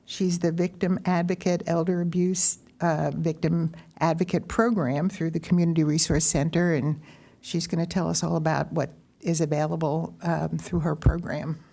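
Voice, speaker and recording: A woman's voice: low voice, flat delivery, normal speed, vocal fry, mellow. A woman chairing a city commission meeting, friendly and procedural as she introduces a guest speaker. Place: city council chamber.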